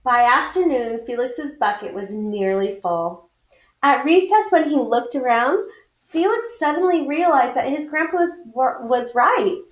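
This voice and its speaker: Woman read-aloud storyteller for schoolchildren, slow and very lively in pitch, echoey room. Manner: acts out dialogue, pauses to comment to listeners. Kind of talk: expressive children's picture book read-aloud. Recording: muffled, echoey room recording.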